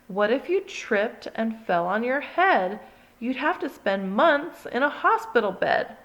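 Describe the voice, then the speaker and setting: Fretful mother cat played by a woman, exasperated rhyming scolds, slow drawn-out delivery, muffled lo-fi audio. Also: a little high, sing-song, fairly bright tone, pitched above the performer's own voice, exaggerated voice. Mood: worried scolding.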